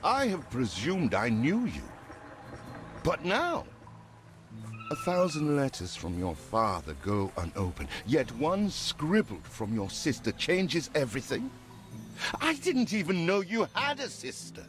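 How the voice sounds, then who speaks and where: An actor playing a loyal, wry companion, a broke drifter bound by a life debt, in a dramatic game cutscene. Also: male voice, fairly high pitch, wildly swooping pitch, a bit bright, exaggerated voice. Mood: brooding. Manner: theatrical, wry, drawn-out delivery. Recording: music behind the voice.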